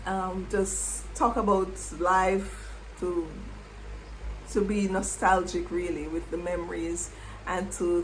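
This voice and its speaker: A middle-aged woman, a former English teacher, reflecting on her past in an unhurried way and repeating words as she thinks. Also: lowish voice, slight background noise, low-quality recording. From Jamaica. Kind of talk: reflective personal storytelling.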